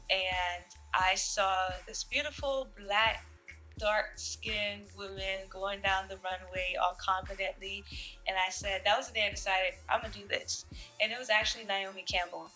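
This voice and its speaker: Young female model for interview answers; bright, casual delivery over faint music. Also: medium pitch, low-quality recording. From Jamaica. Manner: relaxed, with fillers and soft laughs. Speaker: fashion model.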